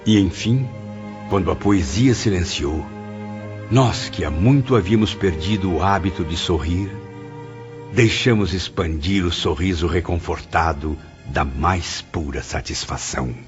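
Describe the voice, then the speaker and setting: A man's voice: deep voice, unhurried. A man playing a remorseful spirit writer who recounts things in a solemn, literary, declamatory way, as in an audio novel. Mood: awed, reverent. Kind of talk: audio novel narration in character. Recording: dull muffled audio under music.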